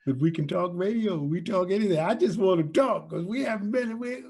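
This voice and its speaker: A man's voice: sits high, brisk, a little mellow, husky. A man chatting casually as a podcast co-host, informal, agreeable and openly appreciative. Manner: agreeable, informal, effusive thanks. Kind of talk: casual chat.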